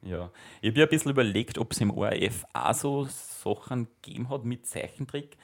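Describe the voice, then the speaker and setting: A young man co-hosting a casual podcast, chatty and quick to laugh. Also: mid-range voice, animated, gravelly. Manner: chatty, hums cartoon theme tunes, laughs easily. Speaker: podcast co-host. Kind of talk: casual chat.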